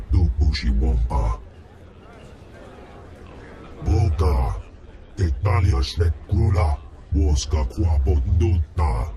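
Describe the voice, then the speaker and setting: Booming alien slug crime lord. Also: male voice, heavy background noise, exaggerated voice. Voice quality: deep booming alien gibberish. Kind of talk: video game cutscene. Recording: music behind.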